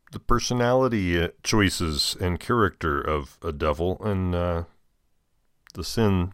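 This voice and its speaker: An American man serving as a deacon, reading scripture and adding folksy, deliberate commentary. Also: low voice, clear, resonant tone, no room sound, clean studio sound. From United States.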